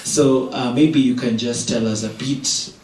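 A man's voice: mid-range voice, fairly even intonation, unhurried, distant mic. Upbeat male show host. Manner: upbeat, encouraging, many short backchannels. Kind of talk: TV interview hosting. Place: indoor TV studio set, seated in an armchair. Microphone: handheld microphone. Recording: roomy studio, voice a little off mic, loud music behind.

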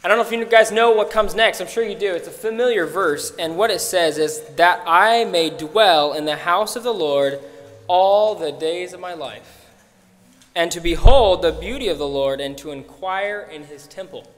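A man's voice: high voice, fairly bright tone, soft background music. Earnest young man at a church pulpit. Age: young adult. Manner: earnest, halting with restarts. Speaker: worship leader. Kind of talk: prayer and short devotional. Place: church sanctuary, at a wooden pulpit. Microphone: pulpit mic. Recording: long reverb of a church hall.

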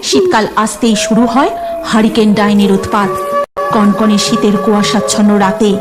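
A woman's voice: medium pitch, normal speed, music in the background. A woman narrating a scripted cartoon horror story in a dramatic storytelling manner.

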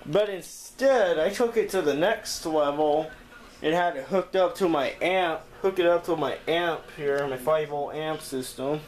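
A man's voice: fairly high pitch, a little slow. A man giving an informal hands-on demonstration of a homemade gadget, speaking from behind a handheld camera in a small bedroom. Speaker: video creator showing a homemade gadget. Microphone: handheld camera, operator behind it. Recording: noisy handheld camera with background hum.

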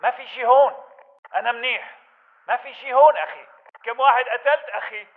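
A man's voice: very high voice, quick pace, narrow, tinny audio. A man voicing an aggressive militant fighter in a video game, with a Levantine Arabic accent and urgent, clipped delivery. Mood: calm report. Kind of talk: video game battle chatter.

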